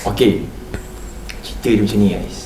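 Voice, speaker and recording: A young man with a Malaysian accent telling a story casually, in a halting way with many pauses. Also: lowish voice. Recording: roomy, distant mic, faint noise.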